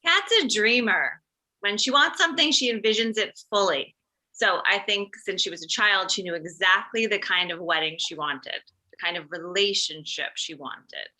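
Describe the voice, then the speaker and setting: A woman's voice: mid-range voice, normal speed, sharp tone, poor audio. A woman playing an assured, older mother of the bride in a comedy table read.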